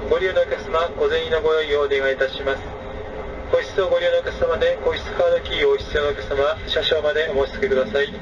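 A male train conductor speaks in a formal, measured way over the PA of an overnight sleeper train, with a fairly high voice. The sound is dull and heard from a distance, recorded off the public address speaker in 2006, with steady train noise running underneath. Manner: formal, measured, with frequent "e" fillers. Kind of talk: onboard train announcements. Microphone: train public address system, recorded from a passenger seat.

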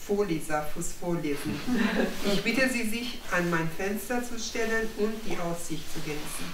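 A woman's voice: on the low side, normal speed. A woman making a formal address to guests. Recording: echoey, distant, noisy room.

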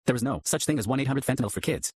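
A man announcing a scripted mock disclaimer in a formal, deadpan manner. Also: mid-range voice, racing, breathy edge.

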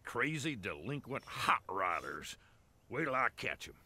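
A man playing a gruff, folksy, fatherly older police car in an animated video game. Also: mid-range voice, unhurried, full character voice. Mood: stern, irritated. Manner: folksy, stern.

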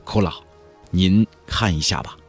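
A man playing an earnest young male cultivator in an audiobook, respectful and measured. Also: low-pitched, breathy edge, faint music behind, voice pushed down, exaggerated voice. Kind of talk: audiobook character voice.

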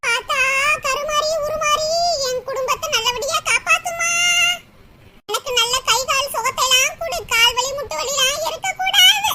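A woman's voice: very high-pitched, moderate pace, noisy background. A woman playing a lazy, demanding older mother-in-law who whines about her aches and orders her food. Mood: pleading, bossy. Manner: whiny, bossy, scolding. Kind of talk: comic sketch acting.

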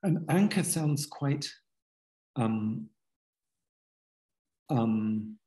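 Fifties male author guest, earnest and halting, video call from home. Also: medium pitch, animated, dark tone. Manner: halting, many restarts and fillers, earnest. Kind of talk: reflective conversational interview. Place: home study with bookshelves. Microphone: video call webcam.

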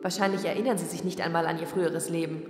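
A woman's voice: lowish voice, fairly even intonation, fast, close to an everyday voice. A woman playing a driven, defiant young rebel in a science-fiction audio drama, speaking with earnest intensity. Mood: angry. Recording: echoey hall reverb, music underneath. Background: music.

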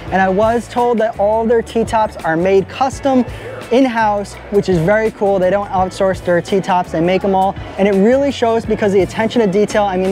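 A man's voice: high-pitched, a little mellow. Upbeat young man touring a boat. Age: young adult. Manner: enthusiastic, upbeat. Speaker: boat vlogger. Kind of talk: walkaround boat review. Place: large indoor boat show hall. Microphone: handheld camera at arm's length.

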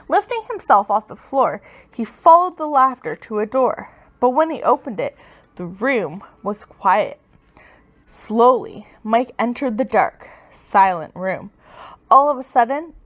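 A woman reading a children's story aloud with expressive, dramatic, emphatic delivery. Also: sits high. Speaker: storybook reader.

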